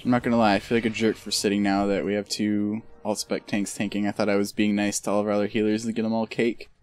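A man's voice: lowish voice, level tone, quick pace, darkish tone. A man streaming a video game, chatting casually and joking in a rambling way. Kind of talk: casual chat while gaming.

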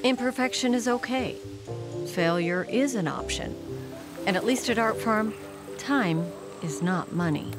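A woman narrates a documentary in a measured, polished voice-over at a middle pitch. Music plays clearly beneath the studio-recorded read. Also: animated. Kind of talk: scripted narration. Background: background music.

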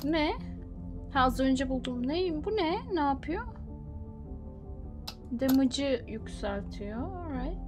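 A woman streamer with a high, lively voice comments casually as she plays. She talks to herself playfully and drops in English slang and curses. Loud game music runs behind her. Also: slow pace, pauses often. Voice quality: mixes English slang and swearing into talk. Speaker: gaming streamer. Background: loud game music and sound effects.

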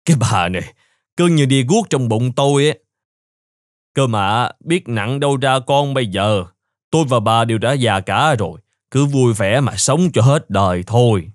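A man's voice: mid-range voice, moderate pace, dry recording, clean studio sound, close to an everyday voice. A man playing an elderly, grumbly but kindly husband in a fairy-tale cartoon, speaking expressively. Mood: resigned. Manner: expressive, exclamatory. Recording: music behind the voice.

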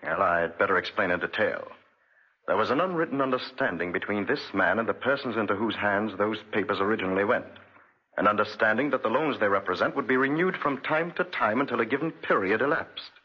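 An actor playing a cruel, obsessive, vengeful wealthy gentleman in a 1940s radio drama, with an imperious, theatrical delivery. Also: lowish voice, expressive, a little fast, husky. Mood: grave, controlled. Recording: muffled vintage 1940s radio recording.

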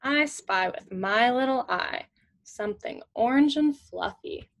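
A woman's voice: on the high side, animated, a little slow, creaky. A woman in her twenties reading a picture book aloud to children in simple, teacherly phrases with questions. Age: young adult, 20s. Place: small indoor room at home with shelves.

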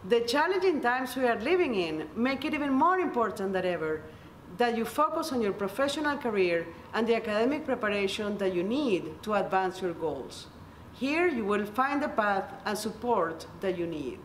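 A woman's voice: mid-range voice, sing-song, normal speed. A middle-aged woman from Venezuela, a native Spanish speaker with a Venezuelan accent, giving a scripted welcome address as an associate dean in an office. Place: indoor office by large windows. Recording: echoey room with slight background noise.

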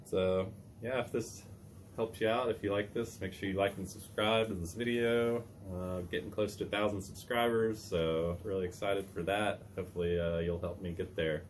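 A man in his thirties hosting a casual outdoor how-to vlog, talking in a relaxed, chatty way with many fillers, filmed on a phone held at arm's length on a mountain lookout tower. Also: deep voice, moderate pace, a little hiss or hum. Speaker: vlogger host. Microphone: phone on a trekking pole at arm's length.

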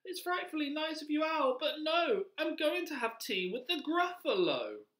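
Woman voicing a high-pitched bold little storybook mouse. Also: sing-song, normal speed, a bit bright. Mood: polite, boastful. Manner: polite, sing-song, boastful. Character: small bold cunning mouse, cheerful and polite. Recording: roomy, echoing room.

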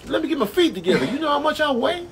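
A man's voice: very high-pitched, big pitch swings, very fast, creaky, exaggerated voice. A man voicing an indignant, put-upon freeloading bear, grumbling and arguing in slang for a comic animal voice-over. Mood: grudging.

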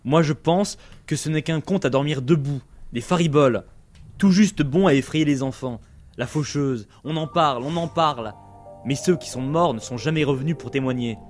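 A man's voice: on the high side, normal speed, darkish tone, faint music behind, lightly acted. A man playing a skeptical, down-to-earth son in a French audio drama, doubting what he hears. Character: skeptical grown son of an old storyteller.

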